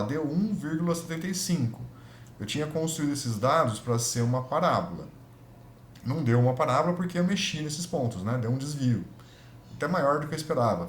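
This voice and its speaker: A man with a Brazilian Portuguese accent, a physics lab instructor talking through a spreadsheet tutorial, thinking aloud and correcting himself as he goes. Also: mid-range voice. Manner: thinks aloud, self-correcting, checks in with students. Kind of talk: screen-recorded spreadsheet tutorial. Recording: echoey room with faint noise.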